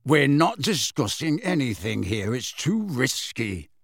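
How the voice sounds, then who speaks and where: A man's voice: medium pitch, sing-song, measured pace, gravelly, fairly bright tone, dry recording, studio quality, full character voice. A man voicing a paranoid, irritable veteran wizard in an audiobook, curt and commanding, with a growling voice. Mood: gruff, warning. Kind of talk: audiobook character voice.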